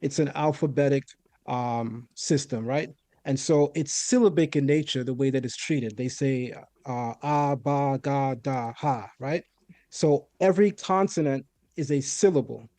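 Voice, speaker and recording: A man's voice: mid-range voice, unhurried, warm tone, no room sound. A man raised in a Caribbean home, explaining a point of language in a methodical, lecturing way and often checking in with "right?". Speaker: guest debater. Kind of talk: explanatory debate on Hebrew.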